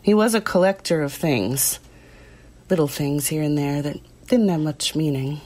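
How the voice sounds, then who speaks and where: Quietly loyal working woman: deep female voice, understated and reflective, recounting events for an audio drama documentary. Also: moderate pace. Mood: subdued, reflective. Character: plain-spoken, quietly loyal working woman recalling the accused.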